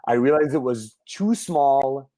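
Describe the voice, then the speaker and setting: A man's voice: mid-range voice, animated, measured pace, mellow. A man, a guest singer telling his life story in a casual, candid, rambling interview. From Israel. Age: 30s.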